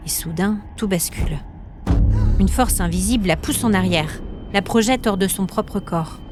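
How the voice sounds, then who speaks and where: Suspenseful woman storyteller over music. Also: mid-range voice. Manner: measured, suspenseful, short weighted phrases. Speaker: storyteller, podcast narrator. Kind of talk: scripted dramatic narration.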